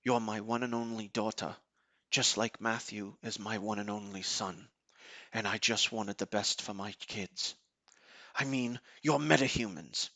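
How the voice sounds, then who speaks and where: Man acting low-voiced fatherly team leader. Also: steady tone, pushed and bright, no room sound. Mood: earnest, fatherly. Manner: measured, explanatory. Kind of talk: amateur audio drama acting.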